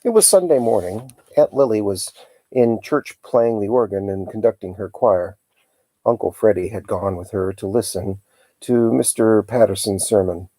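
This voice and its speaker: A man hosting a live storytime, reading a children's novel aloud in a calm, unhurried, hesitant manner. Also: on the low side, no echo.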